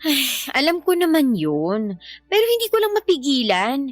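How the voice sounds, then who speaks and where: A woman's voice: high-pitched, wildly swooping pitch, moderate pace, dry recording, soft background music. A woman playing an exasperated young mother who complains emphatically about her partner in a Filipino radio-style drama. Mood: aggrieved, frustrated.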